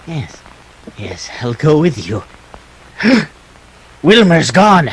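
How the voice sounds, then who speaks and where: An actor playing an excitable, venomous criminal accomplice with a husky voice in a detective radio play. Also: male voice, a little high, drawn-out words, exaggerated voice, poor audio. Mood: startled, resigned.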